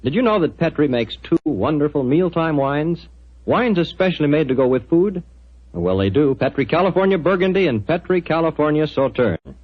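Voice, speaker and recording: A man's voice: a little high, lively intonation, normal speed. A man announcing in 1940s radio style, with a polished, persuasive sponsor's delivery. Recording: muffled 1940s radio recording.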